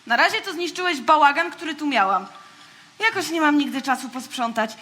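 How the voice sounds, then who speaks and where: A woman's voice: high-pitched, expressive, a little fast, bright, forceful tone, a little hiss or hum. A woman playing a friendly, innocent, curious girlish alien in a children's audio play, guileless and chatty. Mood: chatty, mild reproach.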